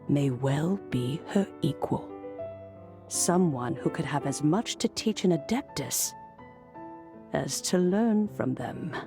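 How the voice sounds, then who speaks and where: A woman voicing a wise, ancient and serene elderly immortal in a video game, talking in a measured, reflective storytelling way. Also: low-pitched, full character voice. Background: music.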